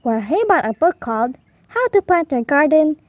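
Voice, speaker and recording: A woman reading a children's storybook aloud as an expressive narrator. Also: sits high, moderate pace, no echo, lo-fi sound. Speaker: storybook reader.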